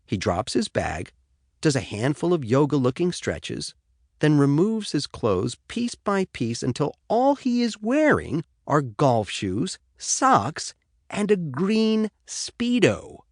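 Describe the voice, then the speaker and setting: Animated American male audiobook narrator. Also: on the high side, slow, no room sound, clean studio sound. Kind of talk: scripted first-person fiction narration. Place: dry studio.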